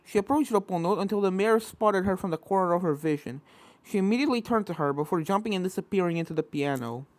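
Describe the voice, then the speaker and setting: A woman's voice: fairly low pitch, animated, quick pace, a little mellow, dry recording, rough recording. A woman reading a scripted fan fiction story as narrator, with spooky video game music behind her.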